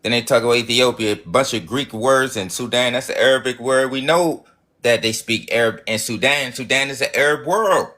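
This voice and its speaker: A man hosting a live stream, preaching his ideas in a loose, rambling flow. He swears freely and keeps stumbling over restarts as he pushes his point. His pitch sits right in the everyday middle. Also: brisk, bright, forceful tone. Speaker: livestream host. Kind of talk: rambling livestream monologue.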